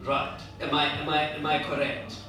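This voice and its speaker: A man's voice: fairly high pitch, monotone, normal speed, pushed and bright. A man moderating a panel, probing his guests in a teasing, questioning manner. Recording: echoey hall from far off, noisy.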